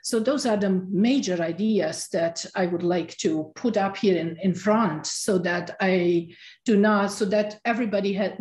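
A middle-aged woman from Romania, giving a Sabbath school talk with many pauses and restarts. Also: medium pitch, darkish tone, echoey room. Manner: halting, many ums and restarts. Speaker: guest teacher.

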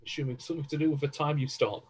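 A man's voice: fairly high pitch, steady tone, very fast, a little mellow, husky. A man hosting a casual livestream, speaking effusively as he gives thanks. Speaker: stream host. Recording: noisy background on webcam stream.

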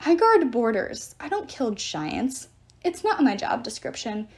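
A woman's voice: on the high side, very animated, measured pace. A woman voicing a fussy, indignant male god in a talking statue, complaining officiously in a storybook reading. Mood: stubborn, sniffy. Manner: officious, shrieking, rule-obsessed complaining.

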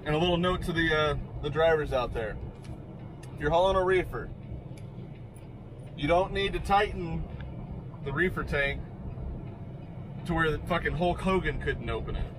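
A man's voice: a little high, expressive, moderate pace, pauses often. A man driving a semi-truck, casually talking to his viewers from the cab, laid-back and chatty with the odd curse word. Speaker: truck driver vlogger. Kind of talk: casual narration while driving. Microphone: dashboard camera mic. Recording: loud engine and road noise.

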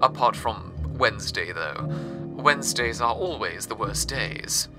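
A man's voice: lowish voice, lively intonation, a little slow. A man playing a chatty radio-drama host, music behind. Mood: cheerful. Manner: chatty and theatrical, playful asides. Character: cheery male radio host in a rusting city. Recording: clear music bed underneath.

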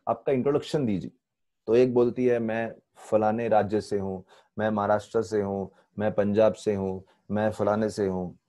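A man in his forties with an Indian accent teaches over a video call, his voice mellow and warm. He tells stories with animated gestures and slips English phrases into his Hindi. He speaks into an earphone mic in a temple room. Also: medium pitch. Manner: lively storytelling, mixing English phrases into Hindi. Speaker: spiritual teacher. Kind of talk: online spiritual lecture. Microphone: earphone headset mic on video call.

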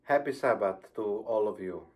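A man's voice: lowish voice, expressive, moderate pace, a little mellow, faint music behind. A middle-aged man with a Romanian accent preaching a sermon over a video call from a plain room, careful and hesitant with frequent ums. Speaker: pastor. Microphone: webcam on video call.

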